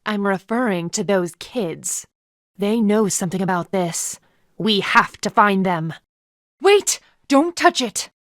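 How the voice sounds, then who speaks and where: Female game character, haughty panda enforcer, formal diction, commanding, disdainful, mid-range pitch, very dry close sound. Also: a bit bright, no room sound. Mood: cold, stern, then alarmed. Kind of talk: video game voice acting.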